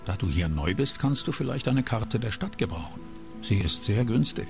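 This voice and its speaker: Weary mapmaker in a game, gravelly mid voice, polite sales talk, music behind. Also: male voice, fairly low pitch, very animated, normal speed. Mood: businesslike. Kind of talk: video game character dialogue. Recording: music under the voice.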